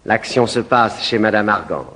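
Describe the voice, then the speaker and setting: A man's voice: fairly low pitch, fairly bright tone, long reverb tail. A man formally announcing the cast and the stage setting of a play. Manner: slow, measured announcing.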